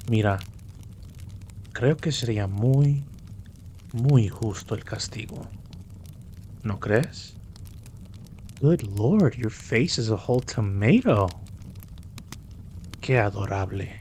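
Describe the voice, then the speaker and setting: A man's voice: fairly low pitch, expressive, slow pace, long pauses, darkish tone. Man acting as a commanding mafia boss, noisy recording. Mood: teasing, dominant. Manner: teasing, commanding, slips into Spanish endearments. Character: dominant, teasing male mafia boss, indignant yet protective. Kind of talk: roleplay audio drama acting.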